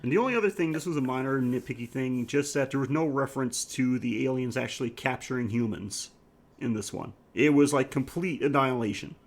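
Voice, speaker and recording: A man with a mid-pitched voice talks casually as a podcast co-host. He gives his movie opinions haltingly, searching for words and swearing freely. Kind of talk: casual chat, movie review.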